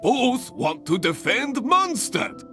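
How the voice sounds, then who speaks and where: An actor playing a theatrical, enthusiastic adventurers guild master in a video game, with a grandiose and effusive delivery. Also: male voice, extremely high pitch, big pitch swings, slow, music in the background, full character voice. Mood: dismayed, coaxing. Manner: grandiose, effusive, pleading persuasively. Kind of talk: video game character acting.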